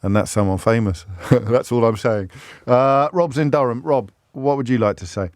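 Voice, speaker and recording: A man's voice: mid-range voice, moderate pace, darkish tone, no room sound, clean studio sound. A man hosting a radio phone-in, talking to callers in a conversational, probing way with frequent restarts. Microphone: studio microphone.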